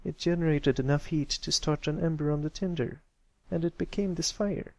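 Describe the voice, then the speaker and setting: A man's voice: a little high, fairly even intonation, normal speed, dry recording, pitched above the performer's own voice, lightly acted. A man voicing a bashful, meek young colt in a storybook reading, stammering and mumbling modestly.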